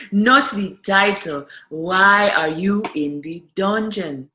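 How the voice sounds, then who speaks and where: A woman's voice: mid-range voice, extremely slow, sharp tone. A woman playing a cool, defiant prisoner in an irreverent radio play, wry and commanding, in a roomy echo. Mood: wry, curious.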